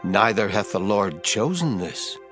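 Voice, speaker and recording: Stern prophet Samuel, dramatically swooping delivery. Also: male voice, on the low side, very animated, unhurried. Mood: grave. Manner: declamatory, solemn, emphatic. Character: Samuel, stern, grave old male prophet. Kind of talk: dramatized scripture reading. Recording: prominent music bed behind voice.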